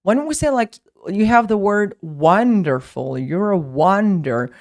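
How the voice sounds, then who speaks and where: A woman's voice: medium pitch, expressive, unhurried, darkish tone. A young woman whose first language is Portuguese, teaching English in a casual online lesson, talking in a chatty way with many fillers and restarts.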